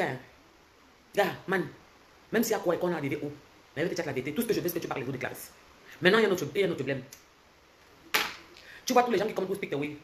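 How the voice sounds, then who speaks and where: A woman in her forties with a Cameroonian French accent, ranting on a phone livestream, switching between loud mockery and low, intense lecturing. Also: slight background noise. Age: 40s. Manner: fast and confrontational, swings from loud mocking to low intense lecturing. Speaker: social media commentator. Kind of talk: heated livestream rant. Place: indoors, bright room. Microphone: phone held close.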